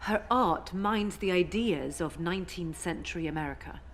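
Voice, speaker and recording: A woman playing an intense, awed describer of monumental art in an audio drama, with measured, emphatic phrasing. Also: on the low side, slight background noise, natural voice.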